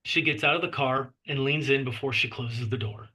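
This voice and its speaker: A man's voice: medium pitch, fairly even intonation, normal speed, sharp tone, husky. A man reading out scene descriptions as the narrator of a screenplay table read. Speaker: narrator reading stage directions.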